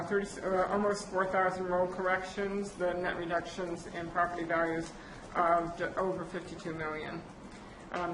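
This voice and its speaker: Woman presenter, low-ish and flat, hesitant delivery, echoey hall with fan noise. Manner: halting, many fillers and restarts. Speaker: county budget presenter. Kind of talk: public meeting presentation. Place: county board meeting room. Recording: echoey chamber with air conditioner noise.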